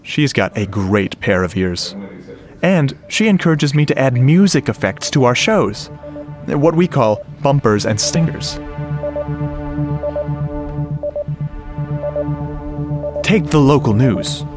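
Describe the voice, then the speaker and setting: A man playing a shy, earnest, nerdy sound-effects man, telling his story conversationally and haltingly in a radio drama, with a wistful manner. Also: mid-range voice, sing-song. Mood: proudly explaining. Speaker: narrator and lead character. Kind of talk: first-person radio drama monologue. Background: music and sound effects.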